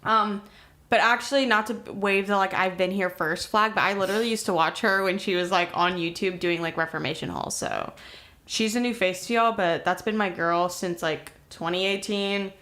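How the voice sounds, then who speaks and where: A woman with an American accent bantering casually as a podcast co-host, blunt, teasing and quick to swear. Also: medium pitch, fairly bright tone.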